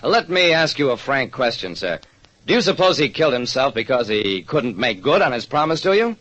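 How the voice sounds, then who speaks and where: A man's voice: medium pitch, big pitch swings, fairly quick, a bit bright. A man playing a shrewd, wry hardboiled private detective narrating an old radio mystery. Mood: wry, probing. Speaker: narrator and lead actor. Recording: muffled old radio recording.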